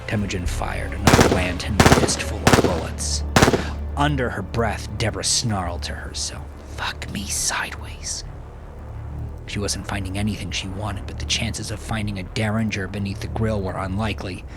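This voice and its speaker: A man narrating a scripted thriller in a dramatic way, hushed and breathy at times and intense at others, with loud music under him. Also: lowish voice, fairly even intonation, normal speed.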